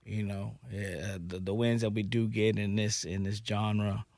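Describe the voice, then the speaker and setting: A man's voice: lowish voice, flat delivery, unhurried, darkish tone, no echo, studio quality. Easygoing man hosting an interview. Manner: easygoing, affirming. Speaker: interview host. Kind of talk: casual interview chat.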